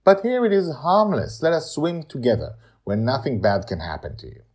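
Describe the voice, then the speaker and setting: An eager, sociable female character from an audio story, played by a man. The pitch sits raised and moves around freely, with earnest, emphatic coaxing. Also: sing-song, normal speed, a little mellow, slightly rough.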